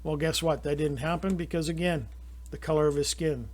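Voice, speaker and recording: A man's voice: a little high, fairly quick, a little mellow, slight background noise. Indignant rambling Canadian man. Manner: rambling with frequent restarts, indignant. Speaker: channel host. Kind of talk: personal opinion monologue.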